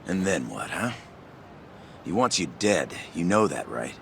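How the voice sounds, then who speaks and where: Gravelly, low-voiced edgy gangster. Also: male voice, very animated, unhurried, slight background noise, full character voice. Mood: wary, insistent. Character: wary, edgy male yakuza gangster. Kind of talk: English video game cutscene acting.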